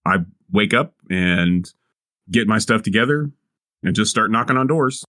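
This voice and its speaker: Man, tabletop player, lowish voice, dry sound, easygoing. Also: lively intonation, unhurried, pushed and bright, dry recording. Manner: easygoing, casual in-character talk. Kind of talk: playing a tabletop game.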